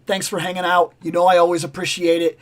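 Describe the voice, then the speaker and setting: A man hosting a toy livestream in casual, rambling chat, with a voice going raspy and hoarse. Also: sits high, fast talker. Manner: rambling, animated, self-interrupting. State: raspy, losing his voice. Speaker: toy channel livestream host.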